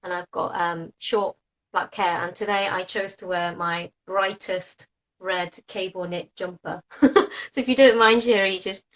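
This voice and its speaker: A woman's voice: mid-range voice, fairly even intonation, a bit bright, poor audio. A Japanese woman in her thirties, a native Japanese speaker and oboist, hosting a casual online interview in a friendly way with frequent laughter. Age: 30s. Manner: friendly, laughs often, quick to praise. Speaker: host, oboist. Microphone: video call.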